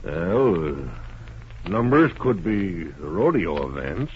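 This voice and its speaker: Folksy western sheriff, fairly low lively voice, deliberate questioning, radio play, muffled old recording. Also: male voice, a little hiss or hum, full character voice. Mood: pondering. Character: plain-spoken, folksy small-town western sheriff. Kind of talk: 1950s western radio acting.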